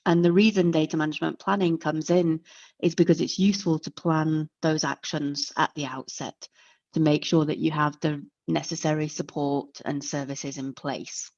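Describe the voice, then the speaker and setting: A woman's voice: sits low, fairly even intonation, moderate pace, darkish tone, no room sound. A woman presenting an online lecture and explaining things step by step in a friendly way. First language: English. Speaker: webinar presenter. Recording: dull lo-fi call audio.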